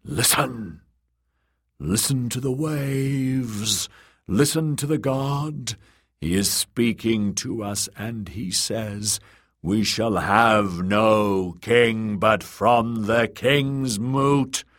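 A man's voice: lowish voice, very slow, fairly bright tone, voice pushed up. A man voicing a bony, fervent priest-prophet, solemn and commanding, preaching in a fantasy audiobook. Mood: rapt, commanding. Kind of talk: dramatised audiobook character voice.

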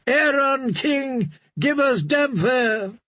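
A man's voice: very high-pitched, animated, very slow, no room sound, voice pushed up, heavily acted. A man doing chanting zealous drowned men. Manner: shouting, chanting.

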